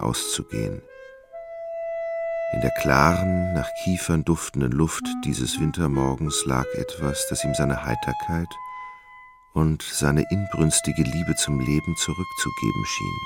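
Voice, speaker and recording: A man reading scripted literary narration for a radio drama in a dramatic, deliberate storytelling manner. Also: very deep voice, huge pitch swings, gravelly, over loud music.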